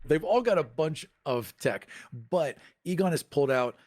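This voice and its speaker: A man's voice: fairly high pitch, lively intonation, moderate pace, a little mellow, slight background noise. A man playing a tabletop game, casually improvising descriptions of what his characters do.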